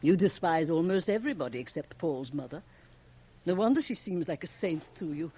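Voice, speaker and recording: Shrewd, blunt, low-voiced worldly woman. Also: animated, fairly quick, warm tone, slightly rough. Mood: stern, cutting. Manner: blunt, mocking, theatrical. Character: shrewd, teasing, domineering worldly woman confidante. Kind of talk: radio drama dialogue. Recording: faintly noisy 1980 radio cassette transfer.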